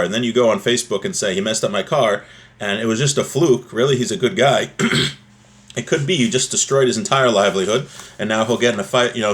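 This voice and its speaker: A man giving an informal religious lesson, explaining a text in a conversational way and often checking in with the listener. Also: medium pitch. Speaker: religious teacher.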